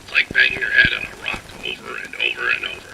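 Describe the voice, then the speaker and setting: An actor playing a gruff, resigned repairman in a game audio log, with a gravelly voice and world-weary, plain-spoken delivery. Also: extremely low pitch, very animated, fast, bright, forceful tone, heavily acted. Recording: noisy, crackling old audio log.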